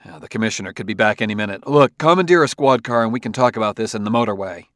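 A man voicing an anguished, harried policeman husband in an audiobook, terse, weary and gruffly protective. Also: lowish voice, quick pace, fairly bright tone, dry recording, clean studio sound, natural voice. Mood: urgent, tense. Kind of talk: audiobook character acting.

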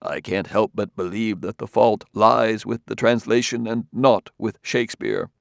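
A man voicing a courtly, talkative male roboticist with a smooth, falsely friendly manner in an audiobook. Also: fairly low pitch, fairly even intonation, unhurried, dry recording. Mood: confidential, flattering. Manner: courtly, talkative, softly running sentences. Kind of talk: audiobook character dialogue.

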